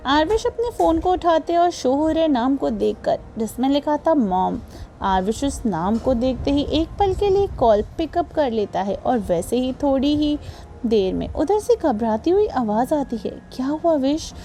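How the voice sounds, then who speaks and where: Woman, audiobook narrator, dramatic scripted storytelling, high voice, mellow tone. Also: sing-song, a little slow, slight background noise.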